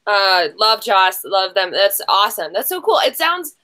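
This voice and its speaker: A woman's voice: medium pitch, very animated, moderate pace, sharp tone. A woman hosting a casual livestream game show in a chatty, gushing way, rambling and interrupting herself with slangy asides.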